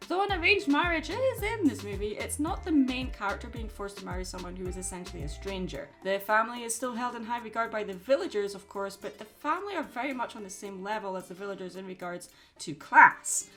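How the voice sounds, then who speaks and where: A woman's voice: medium pitch, expressive, fairly quick, few pauses, over music. A woman reading a scripted video essay as narrator, with a wry manner and dry asides.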